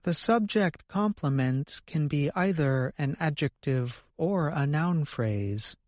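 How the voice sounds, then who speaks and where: A man's voice: on the high side, expressive, takes it slowly, a little mellow, no room sound, studio quality. A man co-hosting an educational podcast, reading scripted explanations in a careful, explanatory manner. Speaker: co-host of an English lesson podcast.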